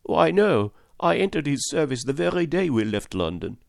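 Man putting on a high, excitable French manservant. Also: moderate pace, vocal fry, no echo, clean studio sound. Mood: confiding. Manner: voluble, indignant, stubborn. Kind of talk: audiobook reading.

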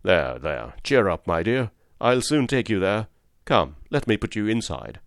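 A man's voice: on the low side, big pitch swings, measured pace, no room sound, clean studio sound. A man voicing a kindly working-class cab driver, firm and fatherly, plain-spoken and reassuring in a storybook reading. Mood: soothing.

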